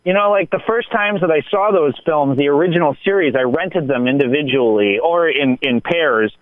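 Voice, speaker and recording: A man's voice: on the high side, moderate pace, no echo, narrow, tinny audio. An American man telling rambling, digressive stories in casual film talk, as a guest on a radio show. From United States.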